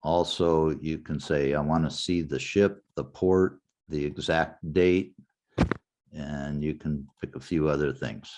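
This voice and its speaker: An older man teaching a genealogy class in a relaxed, anecdotal way, walking the class through a website step by step. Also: very deep voice, slow pace. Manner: anecdotal, conversational, walks through website steps. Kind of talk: informal lecture with screen demo.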